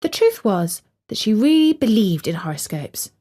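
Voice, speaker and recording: A woman's voice: medium pitch, very animated, normal speed, dark tone. A woman storyteller reading a scripted story for children.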